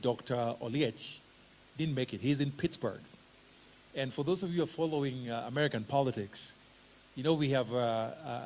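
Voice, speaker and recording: A middle-aged Kenyan man with a Kenyan accent giving a relaxed, joking conference talk as a professor of special education, with plenty of "uh" fillers. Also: medium pitch, a little hiss or hum. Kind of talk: conference talk, self-introduction. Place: conference hall.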